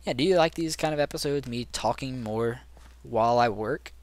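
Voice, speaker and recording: A young man with a raspy voice and sore throat, casually rambling through gaming commentary with many ums. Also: medium pitch, normal speed. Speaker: gaming channel host. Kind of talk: casual let's play commentary.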